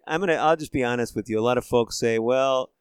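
A man's voice: medium pitch, fast, clear, resonant tone, no room sound, clean studio sound. A man hosting a radio interview, asking questions and summarizing the guest's answers in a friendly, conversational way. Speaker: radio show host.

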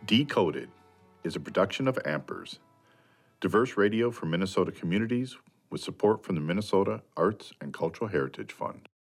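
Male radio documentary host with a booming low voice, earnest narration, dry studio sound. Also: expressive, a little fast, gravelly, no room sound, soft background music.

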